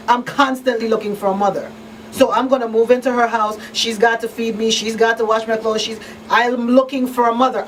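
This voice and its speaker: Distant-miked, emphatic woman on talk radio. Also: a little high. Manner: emphatic, repeats points for weight. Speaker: radio talk show host and crisis counselor. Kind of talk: informal panel discussion with counselling advice. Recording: distant microphone, noisy room ambience.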